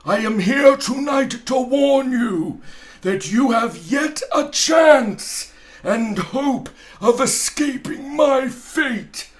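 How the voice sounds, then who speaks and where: A man voicing a mournful ghost in a storybook reading, delivering solemn warnings in slow, wailing, drawn-out proclamations. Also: very high voice, pitched above the performer's own voice, exaggerated voice. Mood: urgent warning.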